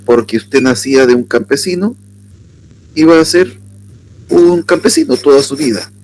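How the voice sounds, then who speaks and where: A man from southern Chile with a Chilean accent, giving an unhurried workshop lecture and asking his audience questions. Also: mid-range voice, a little mellow, slight background noise, rough recording. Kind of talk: lecture on surnames.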